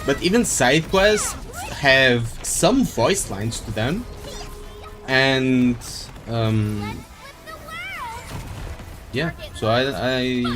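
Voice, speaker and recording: A man streaming a game, chatting casually and rambling as he thinks aloud. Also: medium pitch. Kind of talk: casual live-stream chat while playing. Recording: game music behind the voice.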